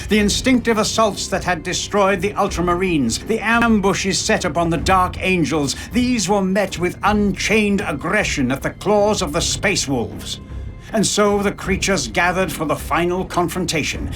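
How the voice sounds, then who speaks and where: Male game narrator, fairly high voice, portentous, dramatic scripted storytelling, music behind. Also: fairly bright tone.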